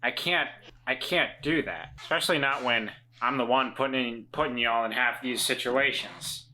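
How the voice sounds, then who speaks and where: A man's voice: medium pitch, moderate pace, sharp tone, poor audio. A man acting in an improvised tabletop game with emphatic, earnest delivery and folksy phrasing. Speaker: player in tabletop role-playing podcast. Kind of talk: dramatic in-character improvised role-play.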